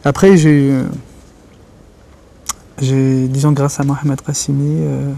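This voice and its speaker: Thoughtful man, mellow voice. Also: mid-range voice, little pitch movement, takes it slowly, creaky. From Algeria. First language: Kabyle. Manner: thoughtful, searching for words. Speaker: interviewee, writer and journalist. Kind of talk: reflective interview talk.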